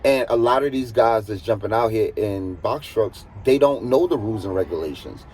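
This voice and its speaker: A man with a husky voice, talking casually as a truck driver giving earnest, emphatic advice and repeating points for stress. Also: fairly low pitch, moderate pace, a little mellow, a little hiss or hum. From United States. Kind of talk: casual advisory monologue.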